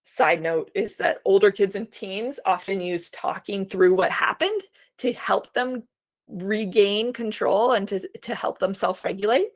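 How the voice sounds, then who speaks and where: A woman walks parents through a homeschool lesson in a relaxed, reassuring webinar voice. Her voice sits at an ordinary middle pitch, and she fills pauses with soft "um"s. She sounds a little worn, as if she is starting to lose her voice. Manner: chatty and reassuring, frequent "um" fillers. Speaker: homeschool program co-founder presenting a webinar. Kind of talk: informal instructional webinar talk.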